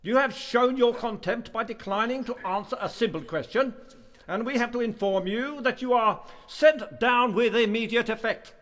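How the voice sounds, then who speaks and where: An actor playing a stern, measured college master in a radio play, speaking formally and with authority. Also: male voice, very high-pitched, a bit bright. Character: stern, measured male college master presiding over a hearing. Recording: echoey hall with long reverb.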